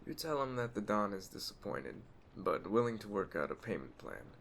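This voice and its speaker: A man playing a calm, courteous, quietly menacing mafia boss in an ASMR roleplay, speaking in a measured, deliberate way with a gravelly voice. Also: full character voice. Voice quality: gravelly, low. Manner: measured, deliberate, smooth-talking, faintly menacing. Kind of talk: ASMR roleplay addressed to the listener. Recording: steady noise of storm ambience behind. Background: storm ambience.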